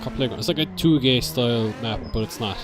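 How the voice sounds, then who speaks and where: A man's voice: mid-range voice, fast talker. Young irish guy on a drift stream, chill and jokey, game engine noise humming behind. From Ireland. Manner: laid-back, jokey, reads chat aloud. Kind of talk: casual chat while gaming. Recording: noisy stream with car sounds. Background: racing game engine and tire noise.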